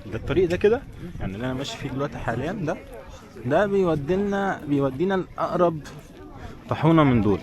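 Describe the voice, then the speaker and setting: A man's voice: fairly high pitch, animated, very fast, a little mellow. A man with an Egyptian Arabic accent chatting informally and directly to viewers as a travel vlogger on the move outdoors. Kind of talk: casual walking vlog chat to viewers. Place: outdoors in a busy tourist village. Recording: crowd chatter, outdoor street sound. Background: crowd and outdoor sounds.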